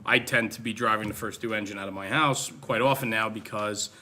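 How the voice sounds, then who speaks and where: A man's voice: on the low side, brisk, pushed and bright. A man chatting casually as a podcast host who is also a firefighter. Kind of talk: casual chat. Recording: light background noise.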